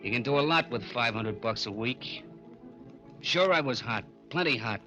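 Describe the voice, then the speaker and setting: Casting: brooding American trigger man for vintage radio crime serial, slangy and tough, bright forceful delivery, light music underneath. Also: medium pitch, animated, normal speed. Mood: brooding, cocky. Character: hard-boiled male gangster trigger man telling his story. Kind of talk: old-time radio crime drama, first-person narration. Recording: old radio broadcast with music behind.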